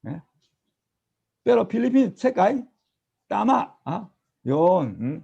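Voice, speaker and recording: A middle-aged man with a Korean accent giving an animated classroom lecture, mixing English and Tagalog and checking in with his students. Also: high voice, unhurried, vocal fry, breathy edge, lo-fi sound. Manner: animated, tag questions, mimics students' replies. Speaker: language teacher.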